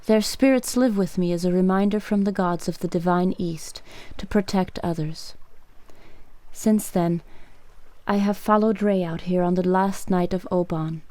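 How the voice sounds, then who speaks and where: An actress as a mysterious, protective island woman in a radio drama. Her voice is soft-toned and dark, close and dry, with a calm, measured, sorrowful delivery. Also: fairly low pitch, no room sound, natural voice. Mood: solemn, sorrowful. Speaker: actor in a radio play.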